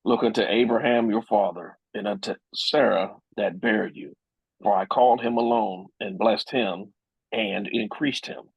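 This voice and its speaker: A man reading scripture aloud in a measured, solemn way. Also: on the low side, gravelly, slightly rough, dry recording. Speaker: scripture reader. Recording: muffled dry lo-fi audio.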